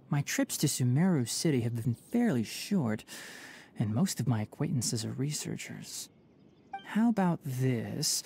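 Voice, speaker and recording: A man's voice: a little high, dark tone. An actor playing a young male forest watcher in a video game, polite, articulate, composed and firm. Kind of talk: video game cutscene voice acting.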